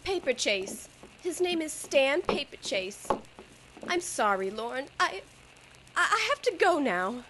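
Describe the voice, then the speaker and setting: An actress playing a torn young flapper in an old-time radio drama, tender and halting in a melodramatic way. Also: female voice, high-pitched, very animated, a bit bright, a little hiss or hum, full character voice, rough recording. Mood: apologetic. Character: torn, sweet young flapper fiancée, firm but tender. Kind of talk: 1930s radio drama acting.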